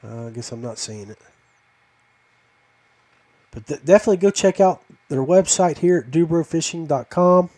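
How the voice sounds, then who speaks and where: An older man hosting a fishing podcast, talking casually in a halting, rambling way. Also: a little high, warm tone.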